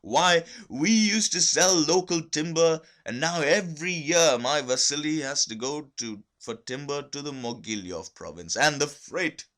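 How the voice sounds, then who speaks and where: A man's voice: on the high side, animated, unhurried, few pauses, fairly bright tone, voice pushed up. A man voicing an emotional, devoted young Russian wife in a dramatic, emphatic way, from a story. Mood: earnest, horrified.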